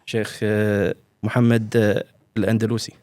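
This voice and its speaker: A man's voice: lowish voice, flat delivery, normal speed, a little mellow, no echo, clean studio sound. A man in his twenties, a podcast host who introduces his guest and asks questions in a polite, deferential way, with many fillers. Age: 20s. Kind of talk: interview questions.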